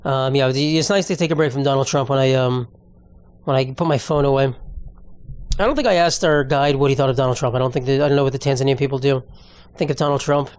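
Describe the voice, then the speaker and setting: A man's voice: mid-range voice, fairly even intonation, fast, darkish tone, smooth, no echo. A man hosting a podcast alone, rambling and ranting in a casual, profane way. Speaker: podcast host. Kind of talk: casual solo podcast monologue.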